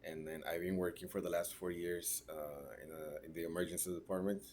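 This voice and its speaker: A man gives a class presentation. He pauses often and searches for words, checking in with short tag questions as he goes. A faint hiss of noise sits under the recording. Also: low voice, level tone, a little mellow. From Mexico (raised in Ciudad Juárez). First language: Spanish. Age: 30s. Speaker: registered nurse.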